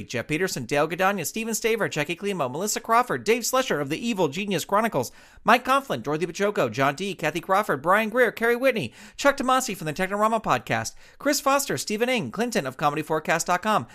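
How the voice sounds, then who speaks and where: A man's voice: high voice, a bit bright. A man reading scripted credits and promos as an announcer, upbeat and addressing children.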